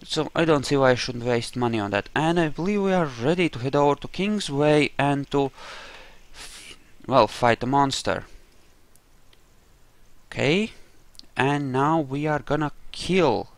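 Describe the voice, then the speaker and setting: A man's voice: medium pitch, expressive, unhurried, dry recording. A man giving casual let's play commentary on a roleplaying game, thinking aloud in a rambling way as he goes. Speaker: gamer narrating his own roleplay playthrough.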